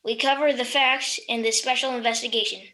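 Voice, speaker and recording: A woman playing a formal investigative TV presenter in a children's audio drama, with measured, portentous announcing. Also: on the high side, fairly even intonation, music in the background, natural voice. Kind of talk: children's audio drama acting, mock broadcast.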